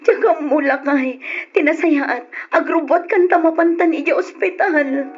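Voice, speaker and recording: A woman's voice: high voice, unhurried. A woman playing a caring, anxious mother who is weak from illness, acted tenderly and emotively in the style of an old Ilocano radio drama. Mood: distressed. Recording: dull, lo-fi old radio recording.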